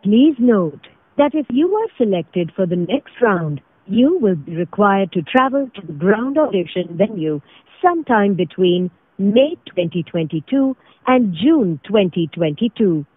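A woman with an Indian accent reading formal, scripted automated phone prompts in a measured way over a phone line. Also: mid-range voice, sing-song, breathy edge, no echo. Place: recorded phone call. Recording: tinny narrow phone line recording.

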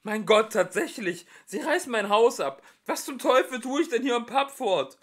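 A man's voice: very high voice, very animated, normal speed, a bit bright, voice pushed up, exaggerated voice. A man reading an audiobook and voicing a panicking, indignant young Englishman who stammers and shrieks. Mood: shrieking outrage.

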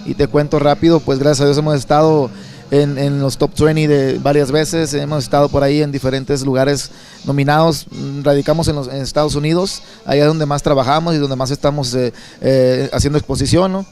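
A Mexican man in his thirties from Sinaloa, answering interview questions at an indoor expo booth into a handheld mic, relaxed and rambling with many repeated words. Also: fairly high pitch, a little fast, soft background music. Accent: Sinaloan Mexican Spanish. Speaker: songwriter and singer.

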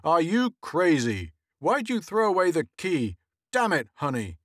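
A man's voice: medium pitch, sing-song, normal speed, a bit bright, no room sound, studio quality. A man voicing an easygoing middle-aged husband, brusque and matter-of-fact. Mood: angry.

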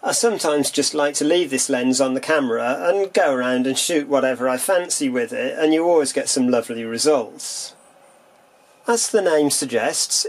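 A man calmly and methodically explaining how camera lenses work, in a demonstration voice-over. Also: fairly high pitch, a little hiss or hum. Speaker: camera lens reviewer.

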